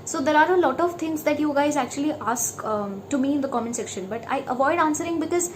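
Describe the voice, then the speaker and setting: A woman's voice: high voice, expressive, fast, slight background noise. A young woman from India with an Indian accent, chatting casually as a vlogger and slipping between English and Hindi. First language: neither English nor Hindi. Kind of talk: casual chat.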